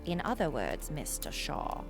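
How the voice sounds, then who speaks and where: A woman playing a haughty, condescending councilor of an otherworldly realm in an audio drama, with formal, drawn-out phrasing. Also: sits low, wildly swooping pitch, music in the background, full character voice. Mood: cool, superior.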